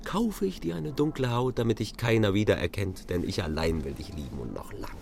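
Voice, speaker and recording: An actor playing a playful, ardent lover in a radio play, with a teasing, tender, poetic delivery. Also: male voice, lowish voice, lively intonation, brisk, vocal fry, noisy background. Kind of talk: German radio play acting.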